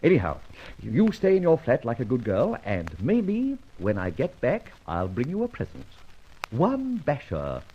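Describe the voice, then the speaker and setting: Dry, teasing gentleman sleuth. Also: mid-range voice, wildly swooping pitch, a little slow, a little hiss or hum. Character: suave gentleman detective, sure and teasing. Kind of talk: 1940s radio acting. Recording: muffled old radio recording.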